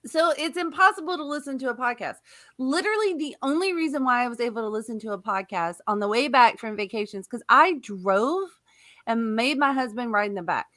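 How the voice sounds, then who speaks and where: Woman co-host, high-pitched and bright, giggly and animated in casual chat. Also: fairly quick. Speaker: podcast co-host.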